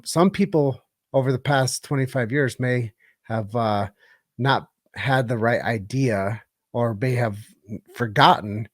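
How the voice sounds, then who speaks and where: A man's voice: medium pitch, dry recording. Male podcast host, dry close sound. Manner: encouraging, prompting. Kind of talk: interview questions.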